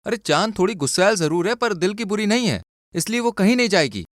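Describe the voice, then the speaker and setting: A man's voice: sits high, sing-song, fairly quick, dry recording, studio quality. A man voicing a principled, forgiving young husband in a cartoon story, earnest and firm. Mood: protesting.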